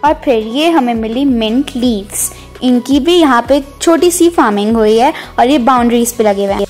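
High-voiced woman narrating a vlog. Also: moderate pace, a little mellow. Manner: chatty, mixes in English words. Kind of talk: casual vlog voice-over. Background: background music.

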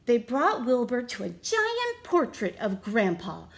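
Animated, high-pitched woman reading a picture book. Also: vocal fry. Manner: deliberate, theatrical emphasis, asks the listeners questions. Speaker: read-aloud storyteller. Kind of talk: expressive picture-book read-aloud for children. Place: small room at home.

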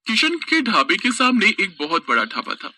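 High, lively male narrator. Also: moderate pace, dry recording, clean studio sound. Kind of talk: scripted story narration.

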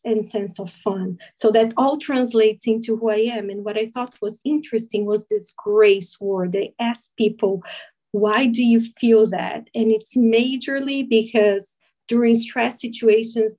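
A woman's voice: medium pitch, dark tone, rough recording. A woman in her forties from Brazil with a Brazilian Portuguese accent, giving an earnest, explanatory talk over a video call. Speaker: guest speaker. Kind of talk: presentation to a video meeting.

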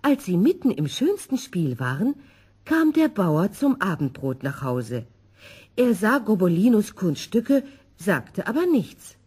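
Lively husky woman storyteller. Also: medium pitch, measured pace. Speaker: narrator. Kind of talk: expressive scripted children's story narration.

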